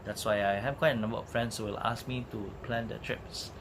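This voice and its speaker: A man's voice: fairly low pitch, fairly even intonation, a little fast, noisy background. A man with a Malaysian English accent who gives travel tips in a casual, unscripted talk to camera. He is chatty and rambling, and he repeats words while he searches for them. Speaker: travel vlogger. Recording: loud guitar music underneath.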